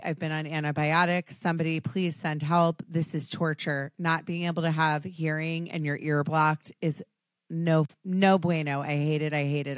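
A chatty American woman hosts her podcast with a hoarse, raspy voice that sits quite low. She talks casually and pokes fun at herself, filling gaps with ums. The sound is dry and close. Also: no room sound. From Washington, D.C. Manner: chatty, self-deprecating, frequent ums. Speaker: podcast host. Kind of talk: casual chat.

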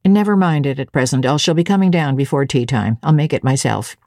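A woman reading a storybook in the part of a middle-aged servant woman, stolid and secretive, speaking in a demure, measured, unruffled way. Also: deep voice, a little mellow, no room sound, studio quality, pitched below the performer's own voice. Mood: stolid, composed. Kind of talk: dramatic audiobook reading.

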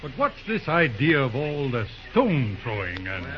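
A man's voice: medium pitch, very animated, unhurried. An actor playing a folksy small-town judge in an old-time radio drama, hesitating and repeating words as he drily reasons with an angry mob. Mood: folksy reproach. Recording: muffled old radio recording, crowd behind.

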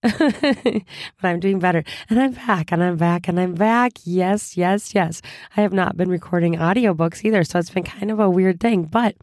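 A hoarse woman hosting a casual solo podcast, chatty and upbeat with frequent laughs, recovering from a cold. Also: lowish voice, normal speed, a little mellow, no room sound, clean studio sound. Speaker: podcast host.

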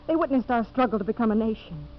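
Earnest idealistic young woman played by an actress, high voice. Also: lively intonation, fast talker, close to an everyday voice. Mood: earnest pleading. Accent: American. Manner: earnest, solemn, pleading. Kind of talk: 1950s American radio drama acting. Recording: muffled, noisy 1950s radio recording.

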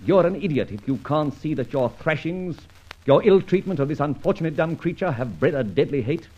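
An actor narrating and playing a suave, confident masked gentleman adventurer in an old radio drama, with a mocking, commanding manner. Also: on the high side, expressive, a little fast. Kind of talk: 1940s radio acting. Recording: dull old radio recording.

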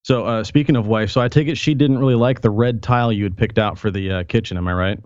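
Man, guest, low voice, recovering from a sore throat, easygoing, muffled internet call audio. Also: fast, smooth, no echo. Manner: relaxed, easygoing, filler words. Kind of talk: casual chat.

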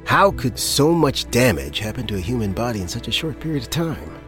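A man's voice: medium pitch, very animated, a little fast, fairly bright tone, soft background music. An actor playing a suspicious, questioning man in an audio drama trailer.

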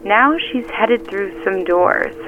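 A woman's voice: mid-range voice, slow pace, a little hiss or hum. An actress playing a casual, watchful woman on a phone call in a naturalistic audio drama, through a phone filter. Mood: tense, watchful. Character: casual, watchful young woman tailing someone while on the phone. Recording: band-limited phone line with music.

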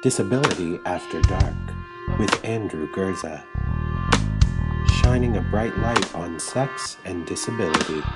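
Female announcer, very deep, slow jingle read over loud guitar. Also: lively intonation. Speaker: show intro announcer. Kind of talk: scripted intro over music. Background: loud guitar music.

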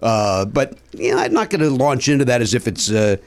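A comedian in his fifties with a raspy voice, chatting casually and haltingly on a podcast, repeating himself with mock exasperation. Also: medium pitch. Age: 50s.

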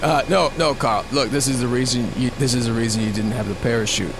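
A man's voice: mid-range voice, steady tone, quick pace, background noise, close to an everyday voice. A man playing an upbeat but slightly nervous reality competition host in an audio drama, with a presenterly, at times stammering delivery. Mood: flustered, evasive. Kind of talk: audio drama acting, show narration. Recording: music behind the voice.

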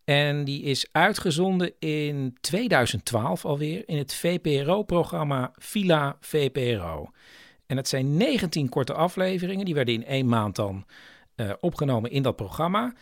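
A man's voice: fairly high pitch, animated, normal speed, dry recording, clean studio sound. A man hosting a podcast in casual, chatty speech. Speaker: podcast host. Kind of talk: casual chat.

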